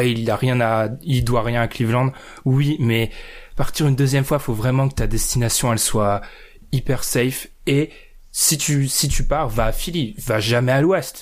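A man hosting a casual basketball chat, chatty and joking as he hands questions to the others. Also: medium pitch. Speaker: podcast host.

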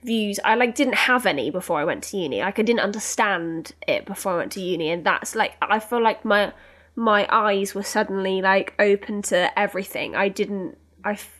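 A young woman from Bath hosting a casual podcast chat, reacting in an animated way and often restarting her sentences. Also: medium pitch, normal speed. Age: young adult.

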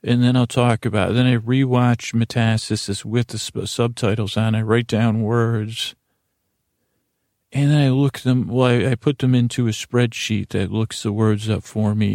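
A man with a heavily creaky, mellow voice hosts a bedtime podcast. His pitch stays fairly flat as he meanders, halting and doubling back into tangents. It is a rambling monologue meant for winding down. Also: no room sound, clean studio sound. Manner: rambling, halting, self-interrupting with trailing tangents. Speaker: podcast host.

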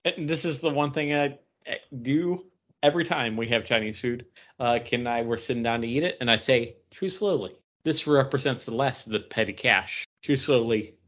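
A man's voice: mid-range voice, normal speed, a bit bright, dull audio. A man co-hosting a podcast, chatting casually with the other hosts. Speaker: podcast co-host. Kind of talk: casual chat.

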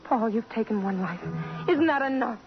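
A woman's voice: fairly high pitch, expressive, normal speed, soft background music, lightly acted. An actress playing an anxious, guilt-ridden, refined young woman in a 1950s radio drama, speaking earnestly. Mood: pleading. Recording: muffled, faintly noisy 1950s radio broadcast.

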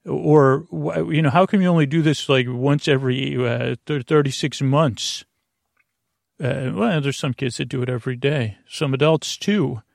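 A man hosting a bedtime podcast in a creaky, lulling voice, meandering and halting through tangents and self-interruptions. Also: medium pitch, no room sound, clean studio sound. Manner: soothing, lulling. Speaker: podcast host. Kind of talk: rambling bedtime monologue to help listeners sleep.

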